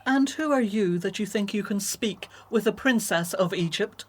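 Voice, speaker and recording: An actress playing a willful, commanding Egyptian princess in a radio drama, haughty but with a tender streak. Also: female voice, medium pitch, moderate pace, husky, lightly acted. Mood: imperious. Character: imperious, willful Egyptian princess, softening to babies.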